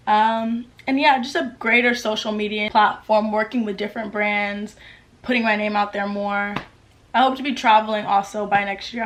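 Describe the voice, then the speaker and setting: Chatty teenage girl vlogging. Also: mid-range voice. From United States. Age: late teens. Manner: chatty, upbeat, lots of "like" fillers. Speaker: YouTuber. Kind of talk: casual Q&A vlog to camera. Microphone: camera mic with ring light.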